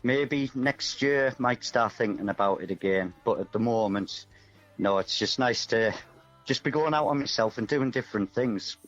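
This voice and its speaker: A man's voice: mid-range voice, moderate pace, a bit bright. A man chats casually as a musician guest, in a rambling, self-deprecating way. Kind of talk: casual radio interview chat. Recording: dull, muffled audio over music.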